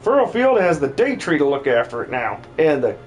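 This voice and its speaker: A man acts out a young farm girl from a video game, sweet and bubbly. The voice is high and very animated, with faint music behind it. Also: a little fast. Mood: cheerful, determined.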